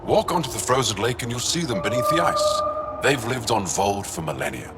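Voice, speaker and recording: A man's voice: medium pitch, animated, brisk, natural voice. A man playing a devoted alien researcher in a video game, explaining things with earnest, reverent expression. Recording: game ambience with vehicle noise.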